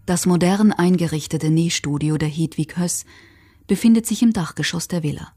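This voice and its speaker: A woman's voice: on the low side, moderate pace, warm tone, husky. A woman playing the detached narrator of a documentary radio drama, reading scripted narration.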